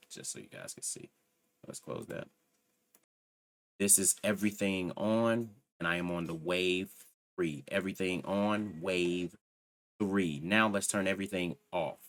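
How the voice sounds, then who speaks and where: A man with a very deep voice hosts his channel and talks through a microphone review in a loose, self-assured, rambling way. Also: moderate pace, fairly bright tone. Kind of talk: casual tech review talk. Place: home computer setup with a loud PC fan. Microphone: desktop USB microphone.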